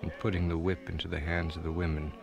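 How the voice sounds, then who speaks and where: A man playing a stern Union army officer, delivering a formal, deliberate report in a dramatic reading. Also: low-pitched, little pitch movement, gravelly. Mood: stern, contemptuous.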